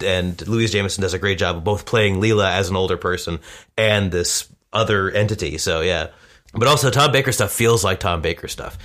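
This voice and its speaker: A man's voice: sits low, fairly bright tone. A man who is a podcast guest, chatting casually in an informal, rambling way.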